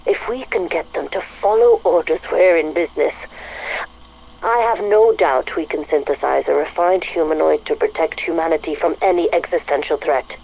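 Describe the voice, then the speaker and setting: A woman playing an arrogant, coldly ambitious scientist dictating a game audio log, measured, self-satisfied and contemptuous. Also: low-pitched, vocal fry, a little mellow, poor audio. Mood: cold satisfaction. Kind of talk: scripted audio log monologue. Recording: faint noise, recorded audio log.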